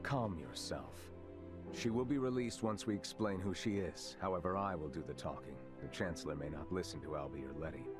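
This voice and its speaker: A man's voice: low-pitched, lightly acted. An actor playing a composed, formal male military commander in a video game cutscene. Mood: reassuring. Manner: formal, measured, courteous. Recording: game cutscene with music behind.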